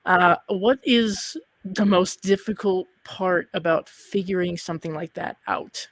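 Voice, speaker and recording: A man hosting a casual interview, feeling his way through questions and comments in a halting manner. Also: sits high, dry recording. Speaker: interviewer and host.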